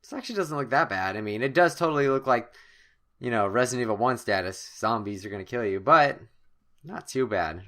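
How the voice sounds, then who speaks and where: Bright-voiced man doing a jokey let's play. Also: mid-range voice, lively intonation, a little fast. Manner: jokey asides, theatrical reading, stumbles over words. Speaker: host of a gaming channel. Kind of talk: let's play, reading game text aloud with joking commentary.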